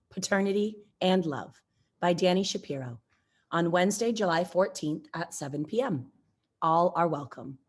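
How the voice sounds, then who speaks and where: Woman reading church announcements, mid pitch. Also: husky. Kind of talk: scripted announcements.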